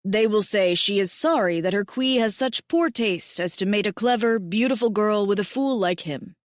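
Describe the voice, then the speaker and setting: A woman voicing a big, devoted alien male lover who speaks softly and formally. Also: medium pitch, animated, normal speed, no room sound, studio quality, natural voice. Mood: tender. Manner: soft, earnest, formal phrasing.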